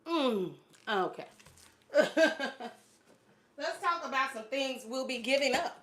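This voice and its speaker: A woman's voice: high-pitched, animated, slow, fairly bright tone. A woman in her late forties hosting a casual livestream, chatting loosely with frequent fillers and laughs. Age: 40s. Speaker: fitness channel host.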